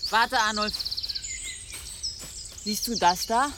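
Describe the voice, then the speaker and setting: An actress playing a hopeful, spirited medieval wife in a German radio drama, calm and gently firm. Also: female voice, medium pitch, slow, heavy background noise, natural voice. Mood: firm, curious.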